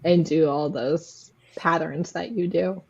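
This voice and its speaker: A woman with a mid-range voice leads a casual quiz in a group chat. Her pace is very slow and unhurried, in an everyday conversational style. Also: low voice, steady tone, creaky, a little mellow, breathy edge, highs cut off.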